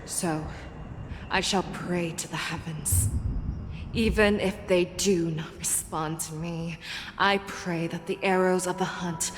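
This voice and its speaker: An actress playing a solemn, resolute warrior general in a video game, speaking gravely with dramatic pauses. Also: female voice, lowish voice, fairly even intonation, slight background noise. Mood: solemn resolve.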